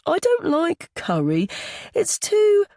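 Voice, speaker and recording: Lady doing a chatty little boy for an audiobook, high voice, super earnest and blunt. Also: wildly swooping pitch, slow pace, darkish tone, dry recording, studio quality, exaggerated voice. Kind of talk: audiobook character dialogue.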